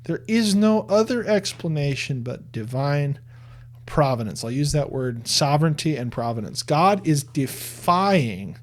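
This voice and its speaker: Man, earnest, argumentative, mid-range voice, conversational commentary. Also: medium pitch. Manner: earnest, argumentative, restarts and repeats words.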